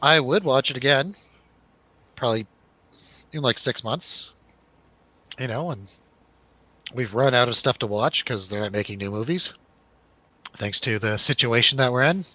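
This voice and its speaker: A man's voice: medium pitch, moderate pace, long pauses, sharp tone, no echo, rough recording. A man hosting a casual movie review chat, joking and irreverent in the way he talks. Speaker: host of a movie review show.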